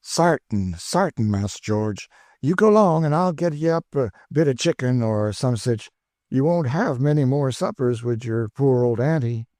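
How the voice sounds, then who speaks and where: A man's voice: mid-range voice, animated, measured pace, warm tone, no room sound, studio quality, pitched above the performer's own voice, natural voice. A man voicing an eager, chatty older woman cook with an in a storybook reading. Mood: bustling. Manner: exclaiming, folksy, eager.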